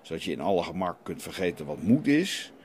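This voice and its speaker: A man's voice: low voice, big pitch swings, a little fast, darkish tone. A man playing a stern, defiant renegade priest in a radio play, challenging and preachy as he questions. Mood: reproachful. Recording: light background noise.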